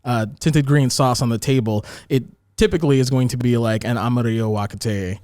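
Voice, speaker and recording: A chef guest, a man, explains a dip recipe in loose, joking talk full of side comments. His voice sits at an ordinary middle pitch. The sound is dry and close, with no room in it. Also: brisk, darkish tone, dry recording. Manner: joking, rambling explanations with fillers. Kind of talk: casual cooking chat.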